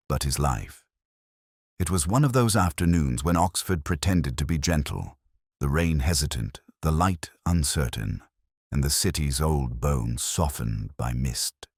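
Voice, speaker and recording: A man narrating a mystery in the first person as a weary, reflective detective, in a measured, literary, brooding manner. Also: very deep voice, no room sound, clean studio sound. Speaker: narrator of a detective audio drama.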